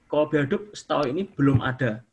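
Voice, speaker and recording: Husky young man on a video call. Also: on the high side, fast talker, highs cut off. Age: young adult. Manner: relaxed, many fillers and trailing "gitu". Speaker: university student guest. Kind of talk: casual interview over video call.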